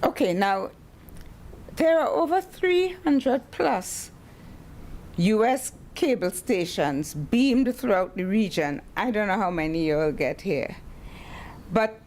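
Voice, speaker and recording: A woman in her sixties from the English-speaking Caribbean, giving a formal academic talk with personal tributes and animated storytelling. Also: mid-range voice, a little slow, a little hiss or hum. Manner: animated storytelling with acted-out quotes. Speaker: guest lecturer.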